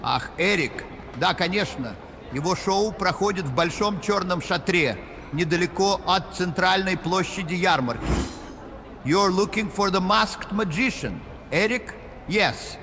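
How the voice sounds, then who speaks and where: A man performs an obliging merchant at a fairground in a horror audio drama. The voice is high-pitched with a creaky edge, ringing in a long echo over noisy ambience. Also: unhurried. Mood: helpful. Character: helpful Russian fairground merchant man giving directions. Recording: echoey hall, background ambience noise.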